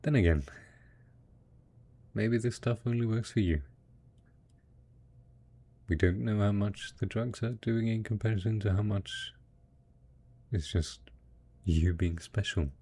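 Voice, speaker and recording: A man plays a devoted husband in a post-apocalyptic roleplay drama, talking softly and intimately to the spouse he cares for. His voice is fairly low and husky, and he takes his time. He is encouraging and hopeful, full of endearments. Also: a little slow, long pauses. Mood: hopeful, reassuring. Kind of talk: roleplay audio drama, intimate monologue addressed to listener.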